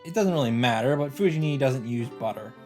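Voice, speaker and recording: A man's voice: medium pitch, lively intonation, fairly quick, a little mellow. A male let's play host with a mid-range voice reads visual novel narration aloud. His delivery is casual, with offhand asides, and game music plays behind him.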